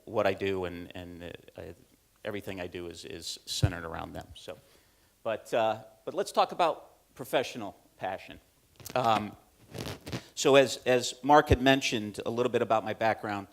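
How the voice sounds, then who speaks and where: A middle-aged man from upstate New York giving a conference presentation, telling self-deprecating stories with many fillers and restarts. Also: on the low side. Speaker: corporate learning speaker. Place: conference room.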